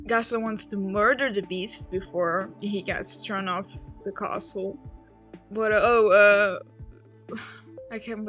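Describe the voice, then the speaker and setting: A woman's voice: fairly high pitch, fairly bright tone. A woman whose first language is Portuguese, rambling casually and swearing now and then as she chats while building a toy set. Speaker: LEGO build vlogger.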